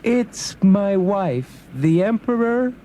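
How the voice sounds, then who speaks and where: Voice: medium pitch, animated, slow, warm tone, a little hiss or hum. An actor playing a meek, henpecked fisherman in a dramatised folk tale, hesitant, pleading and despairing. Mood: hesitant, afraid.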